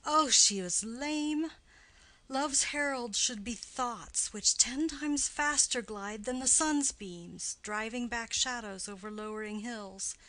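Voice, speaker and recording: A woman's voice: on the high side, slow pace, sharp tone, low-quality recording. A woman voicing an impatient, lovesick, pleading young girl in a classical stage play reading, earnest and declamatory. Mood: impatient longing.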